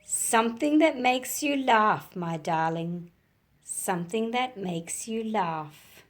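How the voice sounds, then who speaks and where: A woman's voice: medium pitch, animated, slow. A woman voicing kindly elderly neighbours in a children's storybook, tender and reflective. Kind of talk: children's storybook character voices.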